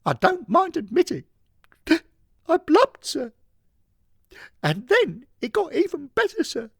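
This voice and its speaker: A man voicing an eager, emotional young English lad in an audio story, excitable and deferential, forever adding "sir". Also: very high-pitched, slow pace, gravelly, dry recording, clean studio sound, voice pushed up, heavily acted. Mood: overjoyed, tearful. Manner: excitable, punctuates phrases with "sir". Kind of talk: scripted audio story monologue.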